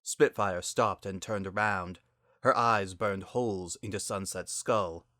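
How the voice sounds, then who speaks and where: A man's voice: low voice, steady tone, unhurried, pushed and bright, no echo, studio quality. A man narrating a story as a scripted dramatic reading.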